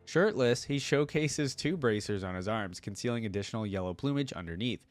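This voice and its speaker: A man's voice: lowish voice, lively intonation, fairly quick. A man hosting a reaction video, reading lore aloud in a casual way with chatty, musing asides. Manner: chatty, musing asides between read passages.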